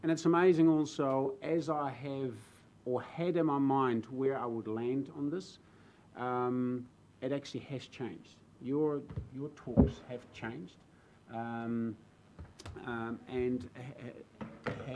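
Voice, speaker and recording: Earnest Dutch-accented man, halting speech. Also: medium pitch, a little mellow, a little hiss or hum. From Netherlands. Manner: earnest, halting, many fillers and restarts. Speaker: new regional councillor. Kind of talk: speech in a council debate. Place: council chamber with public gallery.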